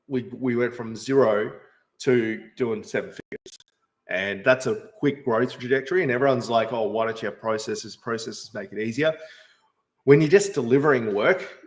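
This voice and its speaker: A man's voice: medium pitch, poor audio. A man, hosting a casual live stream and answering chat questions with many fillers and restarts. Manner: rambling, frequent fillers and restarts. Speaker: livestream host answering chat.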